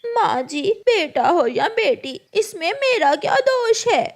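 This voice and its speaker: A woman's voice: extremely high pitch, very animated, slow, pitched above the performer's own voice, heavily acted. A woman voicing a meek, mistreated young crow wife in a cartoon story, pleading and plaintive. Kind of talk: Hindi cartoon story acting.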